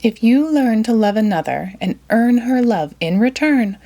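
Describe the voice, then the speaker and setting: Storybook enchantress woman narrator playing her: female, stern, solemn, measured. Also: on the high side, expressive, dark tone. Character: lovely enchantress, stern and solemn, casting a curse.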